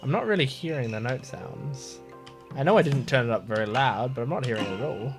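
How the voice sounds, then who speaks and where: A man giving casual, chatty and excitable commentary as he streams a video game. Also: medium pitch, fairly quick, music in the background.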